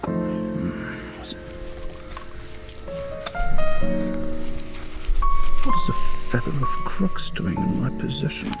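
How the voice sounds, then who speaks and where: A man playing a gentle, absent-minded mage in a fantasy ASMR roleplay, soft-spoken and unhurried, sometimes muttering. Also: medium pitch, sing-song, gravelly, loud music behind the voice. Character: gentle, absent-minded male mage, hospitable forest dream keeper.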